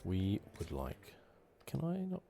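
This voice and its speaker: Low-voiced, soft-spoken man. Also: wildly swooping pitch, gravelly. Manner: soft-spoken, unhurried, thinking aloud. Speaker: gaming channel host. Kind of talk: relaxed ASMR video game commentary. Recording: game music behind the voice. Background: video game music.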